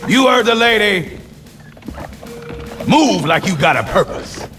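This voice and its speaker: Tough Marine sergeant, raised high voice, wildly swooping barks, swaggering, profane, game drama, music behind. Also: male voice, big pitch swings, takes it slowly, pushed and bright, exaggerated voice. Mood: rousing, commanding. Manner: barking, swaggering drill-sergeant delivery with emphatic punches. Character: gung-ho tough Marine sergeant rallying troops, bellicose and profane. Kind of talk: video game military drama acting.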